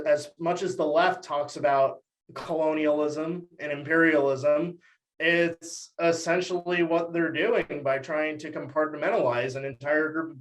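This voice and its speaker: Young guy from texas, podcast guest, voice a bit high, explains politics in an articulate chatty way. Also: fairly bright tone, rough recording. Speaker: podcast guest, academic commentator. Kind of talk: conversational political commentary.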